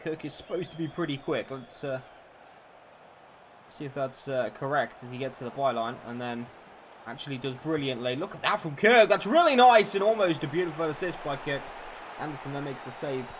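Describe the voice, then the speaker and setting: A man's voice: medium pitch, animated, a little fast, slight background noise. A man in his twenties casually chatting and swearing freely while streaming a football video game, answering his viewers' questions. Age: 20s. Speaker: gaming streamer.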